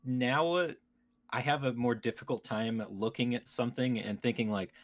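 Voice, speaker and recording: A man's voice: mid-range voice, moderate pace. A man co-hosting a podcast, chatting casually and often restarting his sentences. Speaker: podcast co-host. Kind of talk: casual banter.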